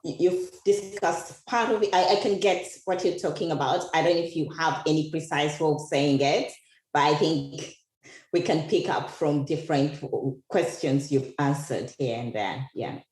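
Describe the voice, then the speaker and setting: Low-voiced woman hosting, echoey room. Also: moderate pace, darkish tone. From Uganda. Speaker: interview host. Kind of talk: conversational interview. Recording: echoey, roomy sound.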